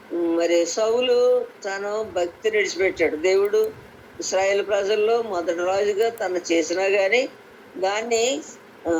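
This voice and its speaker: A woman's voice: medium pitch, muffled recording. A woman gives an informal devotional sermon over a conference call, explaining scripture and repeating phrases for emphasis. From Andhra Pradesh, India. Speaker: lay preacher at a prayer meeting. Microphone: phone on a conference call. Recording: noisy conference call audio.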